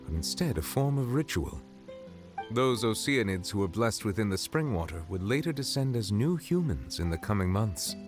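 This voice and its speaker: An ancient dragon sovereign in human form speaks in a low, grave voice. He explains things in formal, measured sentences with solemn calm. Clear music runs under his lines. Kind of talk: game character dialogue. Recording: game audio with music behind.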